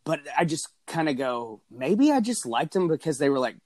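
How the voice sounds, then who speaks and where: A man's voice: on the high side, big pitch swings, normal speed, darkish tone, dry recording. A man co-hosting a casual podcast chat, talking candidly with dry humor, his voice a bit strained. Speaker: podcast co-host. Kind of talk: casual chat about wrestling.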